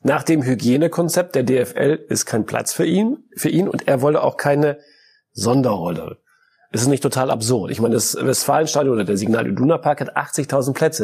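A man's voice: medium pitch, animated, a little fast, creaky, dark tone, husky. A man hosting a sports talk show, asking pointed, ironic questions of his guest.

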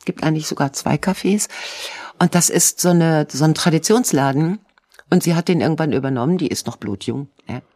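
A woman's voice: low voice, fairly quick, mellow, no echo, clean studio sound. A woman from the with a cold, chatting casually and rambling on at length, on a video call. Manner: chatty, self-pitying, rambling. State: has a cold. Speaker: co-host. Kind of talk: casual chat.

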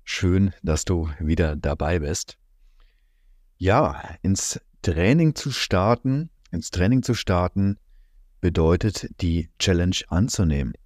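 A man hosting a reflective solo podcast, speaking calmly with many fillers, his voice slightly strained from being a little under the weather. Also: deep voice, slow, no echo, clean studio sound. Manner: calm, musing, many fillers and "ja". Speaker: podcast host.

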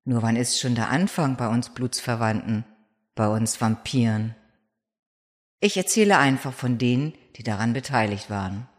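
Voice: mid-range voice, moderate pace, lightly acted. A performer voicing an ancient, young-looking vampire in a reflective, conversational audio drama monologue. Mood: musing, wry. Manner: musing, conversational, addressing an unseen listener.

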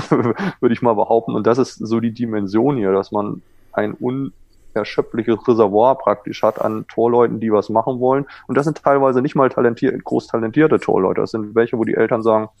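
A man from Germany with a creaky, gravelly edge to a mid-pitched voice, recorded dry and close. He talks conversationally like a coach being interviewed, self-assured and keen to explain. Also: steady tone, moderate pace, a little mellow, dry recording, highs cut off. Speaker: water polo goalkeeper coach, former Olympian.

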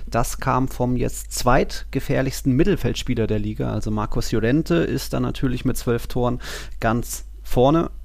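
A man's voice: mid-range voice, moderate pace, vocal fry, a little mellow. A man co-hosting a football podcast, chatting in a casual, laid-back, colloquial way.

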